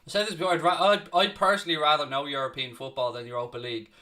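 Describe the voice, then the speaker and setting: A young Irishman with a Dublin accent and a bright, forward voice hosts a live football watchalong. He talks casually and bluntly, breaking off to call the action and answer viewers, swearing now and then. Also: on the high side, steady tone, racing. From Ireland. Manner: chatty, blunt, answers viewer questions between play-by-play calls, occasional swearing. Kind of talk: casual live match commentary and chat with viewers.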